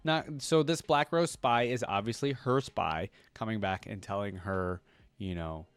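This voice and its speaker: Rambling low-voiced man reacting. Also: a little slow, clean tone, no room sound. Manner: rambling, thinks aloud with restarts. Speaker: reaction video host. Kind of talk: casual reaction commentary.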